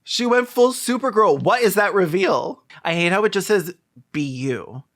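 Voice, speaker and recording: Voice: mid-range voice, lively intonation, normal speed. A YouTube host giving comedic reaction commentary in a sarcastic, crude, exaggerated mocking manner.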